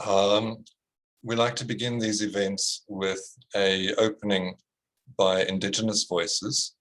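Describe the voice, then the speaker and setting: A man from Africa, hosting an online event and giving a slow, formal introduction over a video call. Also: on the low side, little pitch movement, fairly bright tone, rough recording. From South Africa. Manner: slow and deliberate, with pauses. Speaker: host.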